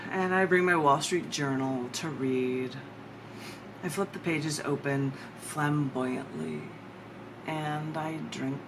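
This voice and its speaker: Low-voiced woman poet, noisy recording. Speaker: poet reading own work. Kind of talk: live poetry reading with chatty introductions.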